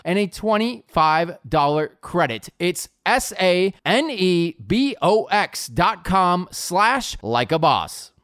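A voice reading a scripted sponsor ad with polished, even delivery. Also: medium pitch, slow, hardly any pauses. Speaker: ad reader. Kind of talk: scripted ad read.

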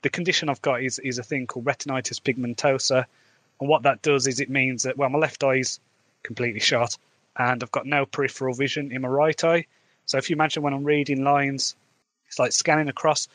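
A man's voice: medium pitch, steady tone, brisk, a bit bright. A man with an English Midlands accent, an author hosting his own podcast, talking casually and candidly with a rambling, chatty manner. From England. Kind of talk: casual solo podcast chat.

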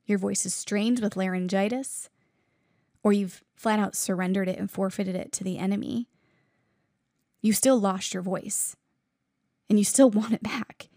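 A woman's voice: mid-range voice, creaky, mellow, no echo, studio quality. A woman preacher giving an earnest, fervent sermon in a conversational way, with asides to her listeners.

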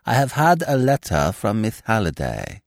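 A man's voice: on the low side, huge pitch swings, moderate pace, vocal fry, no room sound, clean studio sound, voice pushed up, full character voice. Man voicing prim efficient secretary, precise, businesslike. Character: efficient, stiff male secretary.

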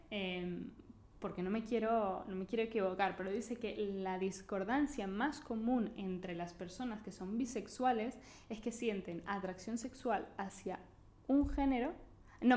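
A woman's voice: fairly high pitch, darkish tone. Playful woman on a chatty podcast, jokes around while she tells her side. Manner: playful, quick to joke and laugh along. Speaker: podcast co-host. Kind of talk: casual chat.

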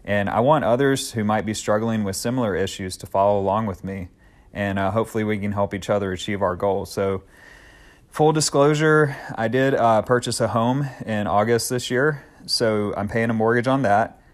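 Man in his thirties, low-voiced podcaster. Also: normal speed. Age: 30s. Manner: earnest, upbeat, conversational with fillers. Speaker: podcast host. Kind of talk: solo podcast monologue.

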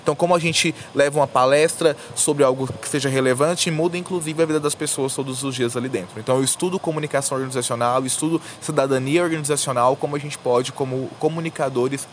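A Brazilian man explains his work in an interview. His voice is mid-pitched. Faint ambient noise sits behind him. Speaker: communication researcher interviewee. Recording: faint outdoor background noise.

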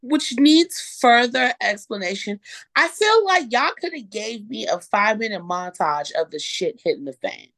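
A woman in her thirties co-hosting a casual podcast, chatty and animated, swearing now and then. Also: a little high, normal speed, no echo. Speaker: podcast co-host. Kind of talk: casual chat.

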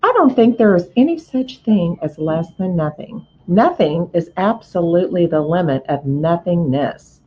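A sad, earnest young pig begs for a friend, as a woman reader puts him on, his voice lifting and dipping in a lively way. The storybook recording sounds a little dull and lo-fi. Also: on the low side, moderate pace, few pauses, breathy edge. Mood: pleading. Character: lonely young male pig, earnest and pleading.

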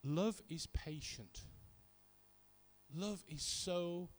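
Middle-aged British male preacher, high voice, conversational storyteller who repeats key points with emphasis. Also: extremely slow. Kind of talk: sermon with personal anecdotes.

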